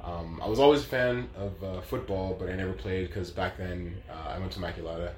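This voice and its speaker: A man's voice: low-pitched, a little fast, echoey room, a little hiss or hum. A man in his late thirties telling his story as an interview guest, casual and rambling, with lots of fillers. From Canada. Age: 30s.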